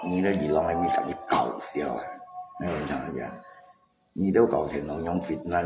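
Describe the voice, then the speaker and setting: A man chatting casually and insistently on a live stream, often repeating himself. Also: low-pitched. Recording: echoey room, music mixed in. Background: music.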